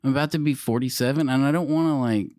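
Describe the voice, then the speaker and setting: A man in his forties co-hosting a casual podcast chat, animated and swearing, with many restarts. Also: mid-range voice, fast, no room sound, studio quality. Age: 40s. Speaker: podcast co-host.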